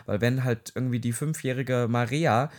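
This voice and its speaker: A man co-hosting a casual chat podcast, talking in an animated, opinionated and jokey way. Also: medium pitch, moderate pace. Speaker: podcast co-host.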